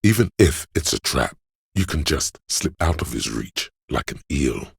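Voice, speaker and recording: A man playing a smug, scheming, money-hungry inner voice in a video game, coaxing like a salesman in a deep, gravelly, husky voice. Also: sing-song, measured pace, no echo, studio quality, heavily acted. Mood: sly, goading. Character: slick money-hungry hustler inner voice, male, scheming and snobbish. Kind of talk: scripted game voice-over, inner-voice advice.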